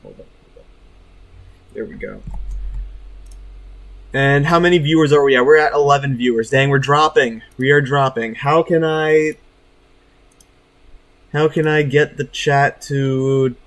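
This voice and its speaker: A man's voice: medium pitch, measured pace, pauses often. A man chatting casually as he hosts a gaming livestream, informal, apologetic and self-deprecating. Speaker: livestreamer host. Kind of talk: casual gaming stream chat.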